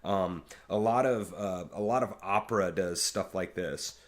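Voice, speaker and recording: A man with a low voice giving rambling, casual commentary on music, with many fillers and restarts. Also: unhurried. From Kansas City area. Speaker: YouTube reaction host, musician.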